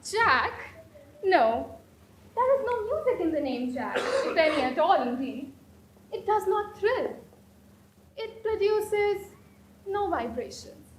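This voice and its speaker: A woman's voice: very high voice, very animated, slow, pauses often, exaggerated voice. A woman playing an imperious, fanciful young society lady in a stage comedy of manners, declaiming with affected, grand emphasis. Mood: haughty, rapturous. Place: indoor stage performance. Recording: roomy stage recording with faint noise.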